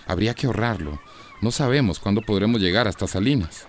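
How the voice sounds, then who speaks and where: An actor playing a caring, flustered village doctor in a radio drama, hesitant but instructive. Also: male voice, lowish voice, animated, fast talker, lightly acted.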